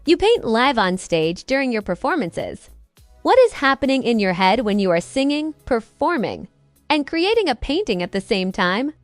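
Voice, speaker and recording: A woman interviewer reads her prepared questions in a formal way, with a higher, lively voice. The sound is dry and close, with faint music beneath. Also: moderate pace, dry recording.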